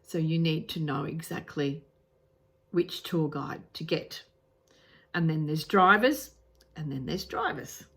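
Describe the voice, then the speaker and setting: Slow, low-voiced Australian woman. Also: a bit bright, husky. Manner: earnest, repeats words, frequent ums and restarts. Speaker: travel vlogger. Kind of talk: casual advice talk to camera.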